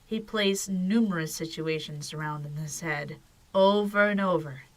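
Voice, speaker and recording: A woman's voice: fairly low pitch, measured pace. A woman narrator reading scripted storytelling for an audio drama.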